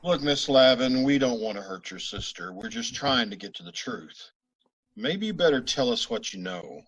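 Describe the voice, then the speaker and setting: Man acting a clipped police sergeant, flat matter-of-fact delivery, medium pitch, old-time radio. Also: normal speed, husky, low-quality recording. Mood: terse. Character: terse, clipped male police sergeant and narrator. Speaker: radio actor.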